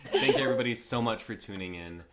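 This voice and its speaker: A man's voice: lowish voice, fast, over a noisy crowd. A man casually hosting a group live stream in a playful, jokey manner.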